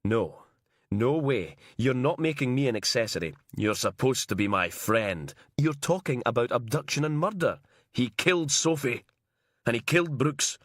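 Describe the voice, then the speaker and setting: A man's voice: lowish voice, animated, normal speed, dry recording, clean studio sound. A man reading a tense, stubborn male detective sergeant who presses hard. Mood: alarmed. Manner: urgent, pressing.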